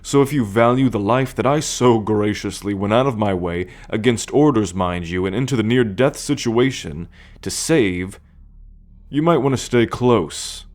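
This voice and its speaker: A man playing a sardonic, coolly possessive male alien captor in an ASMR roleplay, speaking deliberately with a teasing condescension. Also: on the low side, lightly acted. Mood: condescending. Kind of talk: ASMR roleplay monologue addressed to the listener.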